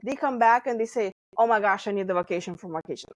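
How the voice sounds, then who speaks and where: A woman's voice: mid-range voice, fast, dry recording. Lithuanian-accented woman, dry close sound. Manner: animated storytelling with laughs and acted quotes. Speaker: guest, stress management coach. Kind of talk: podcast interview.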